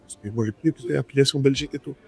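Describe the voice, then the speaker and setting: A man hosting a casual interview in a restaurant, asking quick slangy questions. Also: mid-range voice, vocal fry, warm tone, husky. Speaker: host. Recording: music playing in the background.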